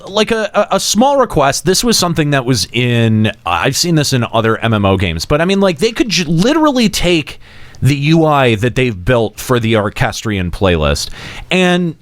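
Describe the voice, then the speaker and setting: A man's voice: mid-range voice, big pitch swings, moderate pace, no echo. A man chatting casually as a panelist on a gaming show, joking and sarcastic. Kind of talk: casual chat.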